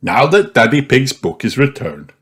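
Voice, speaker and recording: A man's voice: mid-range voice, wildly swooping pitch, moderate pace. A man narrating a children's storybook from a script as an audiobook reader.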